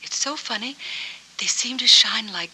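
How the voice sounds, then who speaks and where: An actress playing a lonely, wistful woman with a hazy memory in a 1940s film noir, speaking in halting, dreamy, hushed phrases. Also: on the high side, slightly rough, exaggerated voice, low-quality recording. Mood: vague, dreamy. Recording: old 1940s film soundtrack, music behind.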